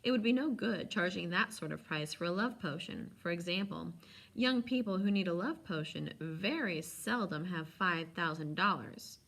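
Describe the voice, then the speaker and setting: Woman reader as a creaky old male potion seller, sly, courteous, insinuating sales patter, storybook reading. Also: lowish voice, animated, normal speed, fairly bright tone, exaggerated voice. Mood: confiding. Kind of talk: storybook read-aloud.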